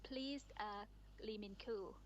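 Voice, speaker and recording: A woman moderating a conference session, introducing the speakers one by one with halting speech and many fillers. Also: mid-range voice, muffled recording, noisy background.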